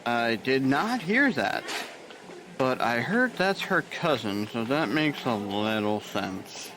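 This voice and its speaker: An actor plays an easygoing male nurse in an audio drama. He sounds wry and unhurried, with a mid pitch that moves a lot. A faint hiss sits behind him. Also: very animated. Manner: laid-back, wry.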